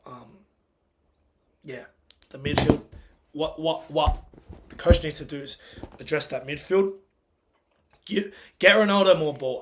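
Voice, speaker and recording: Hoarse man ranting after a match. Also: slight background noise. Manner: agitated and emphatic, pitch and loudness climbing when angry. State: hoarse, lost voice. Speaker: football fan reacting after a match. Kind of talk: casual post-match reaction rant.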